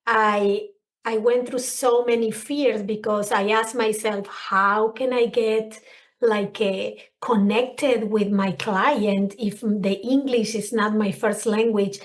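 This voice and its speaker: A woman's voice: medium pitch, slow pace. A middle-aged woman with a Spanish accent, speaking English as a second language, earnestly talking as a counselor and guest on a podcast. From Spanish-speaking country. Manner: earnest, expressive, searching for words. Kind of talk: conversational interview.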